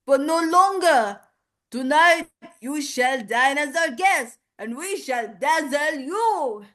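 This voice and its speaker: A woman voicing a lively, theatrical French showman who grandly welcomes a guest to a castle. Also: high voice, slow pace, voice pushed up, heavily acted. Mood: showy, excited. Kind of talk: acted character line in a voice lesson.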